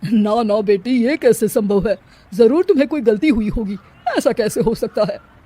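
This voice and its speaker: A woman's voice: a little high, moderate pace, mellow, no room sound, lightly acted. A kindly widowed father-in-law in a cartoon, played by a woman, talks earnestly. He addresses the family in a reassuring way. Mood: disbelieving.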